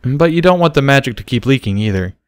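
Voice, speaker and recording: A man's voice: medium pitch, lively intonation, fast talker, clear, resonant tone, no room sound, pitched above the performer's own voice, natural voice. Guy doing a grown-up boy pony in a story, bit awkward, careful explainer, dry recording. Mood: gentle, explaining. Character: awkward, careful adult male pony mentor.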